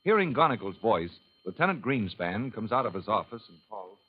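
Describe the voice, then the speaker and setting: Man narrating an old radio drama, smooth and measured, dull old radio sound. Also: fairly low pitch, big pitch swings. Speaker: narrator and announcer.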